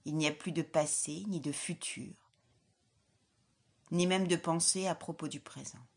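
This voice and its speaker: A woman leading a guided yoga nidra relaxation as an instructor, in a calm, soothing, measured way with soft, breathy phrase endings. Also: sits low. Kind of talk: guided relaxation meditation, scripted.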